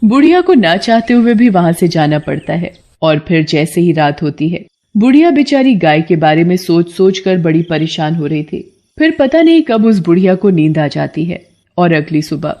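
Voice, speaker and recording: Lowish-voiced woman narrating a folk story. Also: dark tone. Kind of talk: scripted cartoon story narration.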